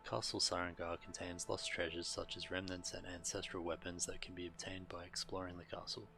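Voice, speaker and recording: A man streaming a video game, reading on-screen text and commenting casually in a measured, subdued way. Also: sits low, little pitch movement, creaky, bright, forceful tone, faint music behind.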